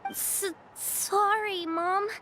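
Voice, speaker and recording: Timid small girl, game role: stammering, extremely slow, very high-pitched, faint ambient noise. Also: fairly even intonation, sharp tone, heavily acted. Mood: timid, guilty. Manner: halting, stammering, meek. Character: timid, sickly little girl. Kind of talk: scripted video game voice acting.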